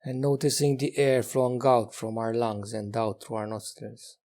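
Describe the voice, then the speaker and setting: A man leading a guided meditation as a teacher, speaking gently and unhurriedly with long pauses between phrases. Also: medium pitch, little pitch movement.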